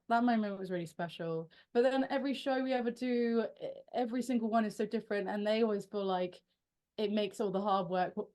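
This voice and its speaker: A young woman fronting a rock band talks through interview questions in a loose, chatty way. She often trips over her own words, restarts her sentences and laughs at herself. She gets animated when an answer excites her. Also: medium pitch.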